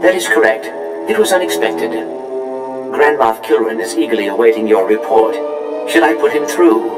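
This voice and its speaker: A man's voice: fairly low pitch, lively intonation, moderate pace. A man voicing an unflappable, servile protocol droid in a video game, speaking formally and courteously through a robotic filter. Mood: unruffled. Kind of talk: scripted video game dialogue. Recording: echoey, music underneath. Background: game music.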